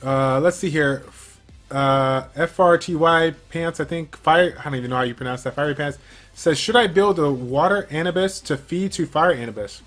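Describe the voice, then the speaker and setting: A man with a slightly high voice hosts a game livestream, reading viewer questions aloud and chatting through his answers. He talks loosely, with plenty of fillers. Faint game music plays underneath. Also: normal speed, a bit bright. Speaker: stream host.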